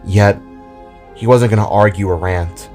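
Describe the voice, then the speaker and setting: A man's voice: fairly low pitch, measured pace, a little mellow, faint music behind. A man reading scripted fantasy fiction as a storyteller. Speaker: narrator. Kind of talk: scripted story narration with character voices.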